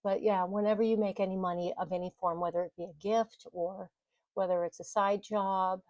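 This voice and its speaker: A woman's voice: medium pitch, flat delivery, brisk, darkish tone, dry recording. A middle-aged woman talking casually to camera in a vlog, rambling and correcting herself, with frequent small laughs. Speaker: vlogger. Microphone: mic on the desk, bumped at times.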